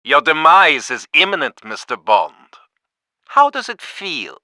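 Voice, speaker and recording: A woman's voice: low voice, big pitch swings, a little slow, bright, forceful tone, dry recording, full character voice. A woman playing a menacing, taunting villain in a video game. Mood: gloating. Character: menacing gloating female villain.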